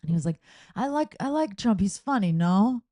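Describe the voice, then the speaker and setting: A woman's voice: medium pitch, expressive, moderate pace, dark tone, no echo. A woman hosting a casual podcast, chatting in a playful, slangy and dramatic way. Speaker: podcast host.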